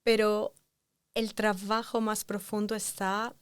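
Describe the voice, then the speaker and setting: A woman in her forties, a doctor guest on an interview, speaking calmly and reflectively. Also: mid-range voice, takes it slowly, clean tone, dry recording. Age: 40s. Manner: calm, reflective, explains thoughtfully.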